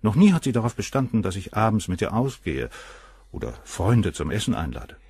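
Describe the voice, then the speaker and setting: A cynical, self-satisfied surgeon in a radio crime drama. His voice is low and husky, with lively swings in pitch. It is recorded close and dry. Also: brisk, no room sound, full character voice. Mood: cool. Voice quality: cool, cynical, dismissive. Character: cold arrogant famous male surgeon, middle-aged. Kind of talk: radio play acting.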